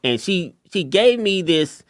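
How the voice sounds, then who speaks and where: A man with a light voice chatting casually on a podcast, animated and quick to mimic others. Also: dry recording, clean studio sound. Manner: animated, shifts into high mocking voices when quoting others. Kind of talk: casual chat.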